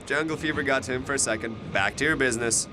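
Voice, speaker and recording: A man's voice: quick pace, natural voice. A man acting in a naturalistic audio drama, talking in a casual, slangy way. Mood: wry. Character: young male university student commuter confronted by chatty stranger. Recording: faint train noise. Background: subway car rumble.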